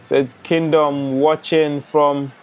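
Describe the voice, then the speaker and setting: A young man from Ghana hosting a live football watch-along, chatting and reading out viewer comments in a relaxed way. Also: on the high side, takes it slowly, dull audio. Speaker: live stream host.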